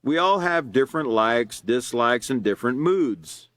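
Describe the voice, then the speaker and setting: A man teaching a character education class, reading from a workbook and explaining it in a loud, earnest way with many fillers and restarts. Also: mid-range voice, animated, a little slow. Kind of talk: reading and explaining a lesson live.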